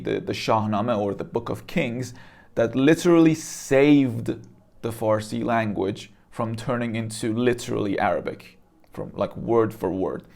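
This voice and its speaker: A man's voice: medium pitch, creaky, a little mellow. Casual man explaining things. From Iran. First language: Persian. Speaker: presenter. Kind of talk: casual explanatory talk to viewers.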